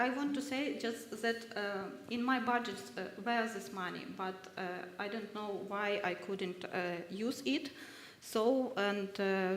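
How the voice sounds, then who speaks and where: Woman, research fellow on a workshop panel, hesitant halting speech, echoey hall, long reverb. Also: medium pitch, a bit bright, a little hiss or hum. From Ukraine. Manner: hesitant, halting, many fillers. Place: university ceremonial hall.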